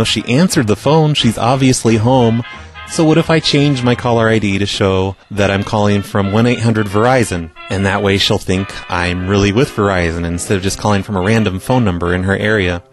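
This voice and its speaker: Male prank call show host, low voice, loose and needling, music behind. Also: a little fast. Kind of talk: casual prank calling and chat.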